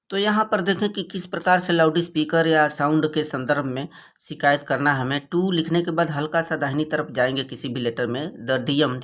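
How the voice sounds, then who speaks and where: A man's voice: on the high side, a bit bright. A man with a North Indian accent, a native Hindi speaker, giving an explanatory exam lesson as a teacher, didactic and working phrase by phrase. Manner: didactic, reads English slowly phrase by phrase, then explains. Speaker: English teacher for board exam students.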